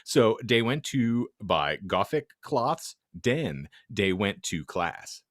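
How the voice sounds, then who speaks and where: A man's voice: lowish voice, big pitch swings, slow, fairly bright tone, no echo, studio quality. Theatrical man with wildly swooping delivery. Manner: theatrical, mock-serious delivery. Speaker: podcast host reading fan fiction aloud. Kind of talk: comedic dramatic reading of garbled fan fiction.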